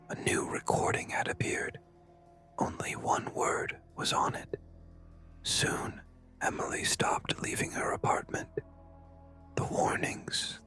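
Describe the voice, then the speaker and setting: Horror narrator, sharp breathy whisper throughout, suspenseful and hushed, music underneath. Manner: slow, hushed, suspenseful delivery with pauses. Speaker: narrator of scary stories. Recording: music behind. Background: background music.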